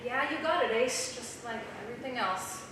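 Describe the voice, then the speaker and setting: A woman's voice: on the high side, expressive, moderate pace, a bit bright. A woman playing an insecure, guarded and resentful young woman writer in a staged script reading. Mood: bitter. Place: small theater, recorded from the audience. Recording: distant, very echoey noisy theater recording.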